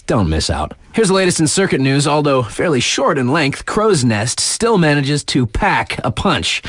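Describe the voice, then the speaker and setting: A cool, laid-back radio DJ for a snowboarding game. His mid-pitched voice moves animatedly through scripted circuit updates, dropping into casual, wry asides. The sound is dry, with no room around it. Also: no echo. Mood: amused excitement, then wry, amused. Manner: casual, slangy, chuckling asides. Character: laid-back hip young male radio DJ hyping snowboard races. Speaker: radio DJ announcer. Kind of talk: scripted sports news radio patter.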